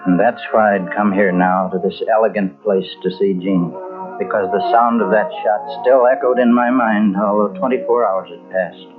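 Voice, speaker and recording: A man's voice: lowish voice, steady tone, moderate pace, close to an everyday voice. An actor playing a guilt-ridden, weary and bitter man confessing a murder in a 1940s radio mystery. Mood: haunted. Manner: brooding, dramatic. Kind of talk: 1940s radio mystery acting, first-person narration. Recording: muffled old radio recording with music behind.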